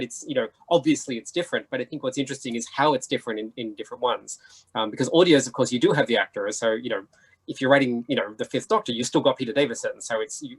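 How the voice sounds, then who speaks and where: A man's voice: medium pitch, slightly rough, rough recording. A man speaking as a convention panelist, explaining his points discursively in an informal discussion.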